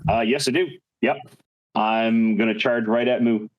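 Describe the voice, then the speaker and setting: A man chatting casually as a player in a tabletop role-playing game, deliberately stating what his character does. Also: medium pitch, fairly even intonation, dull audio.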